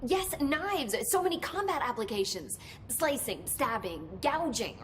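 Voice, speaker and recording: A woman's voice: high-pitched, big pitch swings, a little hiss or hum. A middle-aged woman voicing an enthusiastic research scientist in a game. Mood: excited. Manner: brisk, gets carried away with enthusiasm. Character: excitable female research scientist.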